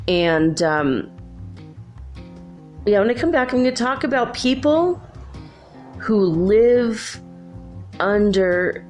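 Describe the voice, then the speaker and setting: A woman's voice: mid-range voice, expressive, slow pace, pauses often, a little mellow, soft background music. A woman hosting a solo podcast, talking in a rambling, chatty way while congested. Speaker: podcast host. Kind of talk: casual solo podcast chat.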